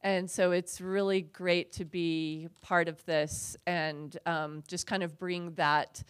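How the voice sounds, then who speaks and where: Casting note: woman panel moderator, low, smooth voice, easygoing and chatty. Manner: relaxed, conversational, many fillers. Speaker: board president, panel moderator. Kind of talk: conference panel introduction. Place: conference hall on stage. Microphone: handheld mic.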